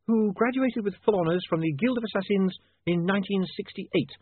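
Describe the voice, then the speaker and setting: A man's voice: high-pitched, a little fast, breathy edge, voice pushed up. A man voicing a prim, insistent young woman in an audiobook, indignant and questioning. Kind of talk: audiobook character voice. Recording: band-limited, phone-like sound.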